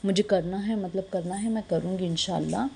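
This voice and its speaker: A young woman from India narrating a casual daily vlog with a hoarse, gravelly voice, chatty and full of fillers. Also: mid-range voice, brisk, a little mellow. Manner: chatty, mixing in English phrases, many fillers. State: hoarse, sore throat. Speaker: vlogger.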